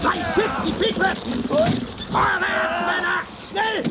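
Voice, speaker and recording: A male actor playing a frantic whaling officer in a radio drama, shouting urgent commands at sea. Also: very high-pitched, fairly even intonation, takes it slowly, heavy background noise, full character voice. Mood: frantic, commanding. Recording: loud music underneath.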